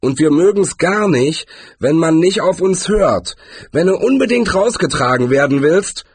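A bullying thug of a gang leader from a storybook, as a man acts him out. He growls loud threats in a husky, raised voice. The delivery is aggressive and menacing. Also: fairly high pitch, expressive, measured pace, no echo, voice pushed up. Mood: threatening.

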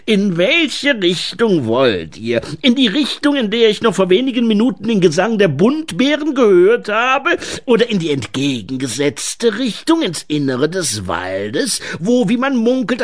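Storybook forest gnome: sly, touchy, theatrical wheedler, very slow, extremely varied pitch. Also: male voice, vocal fry, fairly bright tone, exaggerated voice. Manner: theatrical, wheedling, drawn-out delivery. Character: sly, theatrical, easily offended male forest gnome troll.

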